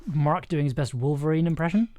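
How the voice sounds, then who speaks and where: A woman thinking aloud in casual livestream commentary as she works, sometimes muttering, sometimes playfully animated. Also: sits low, a little fast, highs cut off. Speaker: streamer editing an audio drama. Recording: keyboard clicks and typing noise. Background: computer typing and mouse clicks.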